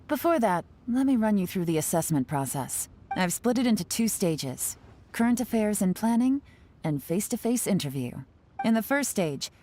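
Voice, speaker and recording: A woman's voice: lowish voice, natural voice. A woman as a cool, composed official. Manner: calm, measured, businesslike. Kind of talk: video game voice acting.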